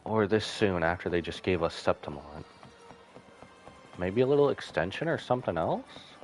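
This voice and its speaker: A man's voice: low voice, animated, normal speed, soft background music. A man doing casual live commentary on a game, sentimental and musing aloud to himself as he reacts. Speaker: game streamer.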